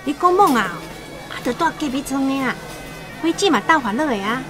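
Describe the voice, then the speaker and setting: A bossy taiwanese village wife, highish voice, radio play, music behind. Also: female voice, expressive, fairly quick. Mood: brisk. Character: bossy village wife hosting a wedding banquet. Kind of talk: radio drama acting.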